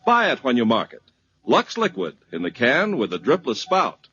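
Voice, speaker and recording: A man's voice: mid-range voice, wildly swooping pitch, normal speed, a bit bright. Emphatic 1950s radio announcer man. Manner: emphatic, sing-song salesman delivery. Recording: muffled 1950s radio broadcast with music.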